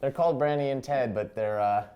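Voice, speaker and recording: A man's voice: medium pitch, fairly quick, warm tone, clean tone. A young man playing an awkward, rambling talk show host in a comedy sketch.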